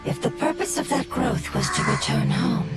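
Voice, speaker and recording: A performer voicing a small, ancient forest spirit in a video game, solemn and measured. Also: a bass voice, wildly swooping pitch, over loud music, full character voice.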